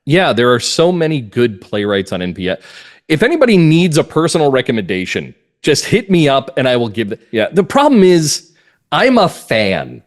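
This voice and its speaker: A man's voice: mid-range voice, huge pitch swings, normal speed. Male playwright in casual interview chat. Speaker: podcast guest, playwright.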